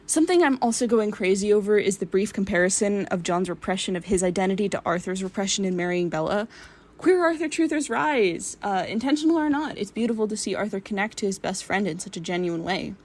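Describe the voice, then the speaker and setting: A woman giving earnest, expressive fan commentary in a prepared voice message. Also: medium pitch, fast. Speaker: listener sending a voice message.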